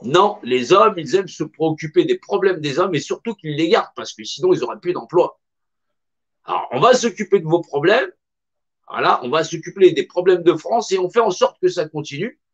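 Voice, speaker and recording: A man's voice: on the high side, expressive, a little fast. Impassioned man, dull lo-fi recording. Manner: emphatic, repetitive, colloquial. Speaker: speaker. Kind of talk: impassioned monologue.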